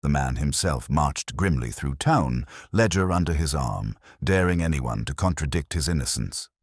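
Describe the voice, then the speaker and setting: A man narrating a mystery story in a measured, wryly understated way. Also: a bass voice, animated, breathy edge, no echo, studio quality. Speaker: audiobook narrator.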